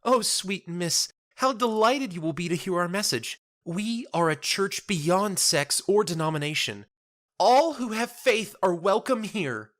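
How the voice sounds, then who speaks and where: A man voicing an earnest, gentle young church woman in a soft, lilting, formally polite way for an audio story. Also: high voice, expressive, measured pace, pitched above the performer's own voice. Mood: delighted, devout. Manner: formal, quaintly polite speech.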